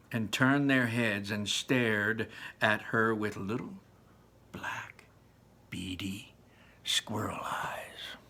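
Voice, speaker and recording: A man reading a children's book aloud in an animated way, dropping to whispers for effect. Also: on the low side. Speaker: read-aloud narrator.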